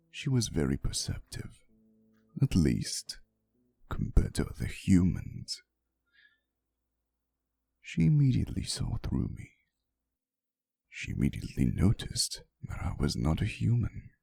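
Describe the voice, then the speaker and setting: A man playing an ancient vampire boyfriend in an intimate ASMR roleplay, soothing and unhurried, gently teasing and fond as he tells stories. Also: lowish voice, wildly swooping pitch, gravelly, husky, soft background music, exaggerated voice. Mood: storytelling, amused.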